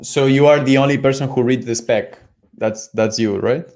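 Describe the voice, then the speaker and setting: Man host, English, casual, lots of uh and like, middle pitch. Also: steady tone, moderate pace, darkish tone. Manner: loose, filler-heavy questioning. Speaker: podcast host. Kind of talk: casual interview chat.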